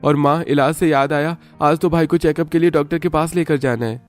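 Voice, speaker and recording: A man's voice: fairly high pitch, fairly even intonation, brisk, faint music behind, lightly acted. A man playing a poor family father in a cartoon story, fretful but caring, speaking earnestly. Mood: resigned. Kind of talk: Hindi cartoon story voice acting.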